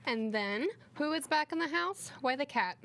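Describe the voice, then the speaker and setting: Lady reading a kids rhyming book out loud, kinda high voice. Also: expressive, moderate pace, slight background noise. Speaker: co-reader. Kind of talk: children's storybook read-aloud.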